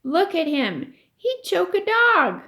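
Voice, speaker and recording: A woman's voice: very high-pitched, wildly swooping pitch, takes it slowly, bright, forceful tone, voice pushed up, full character voice. A woman voicing a stubborn, indignant young boy who protests emphatically in a children's storybook. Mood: disgusted. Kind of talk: children's storybook read aloud.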